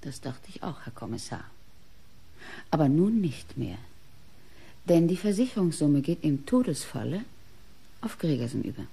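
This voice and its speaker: An actress playing an artist's proud, bitter wife, indignant and defensive, in a radio crime play. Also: female voice, sits low, very animated, normal speed, creaky, long pauses, mellow, breathy edge, full character voice. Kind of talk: German radio play acting.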